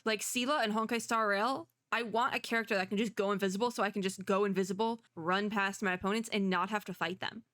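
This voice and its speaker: A woman's voice: mid-range voice, steady tone, quick pace, hardly any pauses, fairly bright tone, dry recording, studio quality. A young woman doing casual live reaction commentary as a streamer, chatty, enthusiastic and informal. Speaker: streamer reacting to game trailers.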